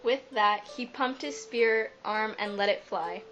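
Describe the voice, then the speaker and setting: A woman's voice: mid-range voice, a little slow, fairly bright tone. A woman narrating a scripted story as a storyteller, on a lo-fi recording. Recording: dull lo-fi sound, music behind.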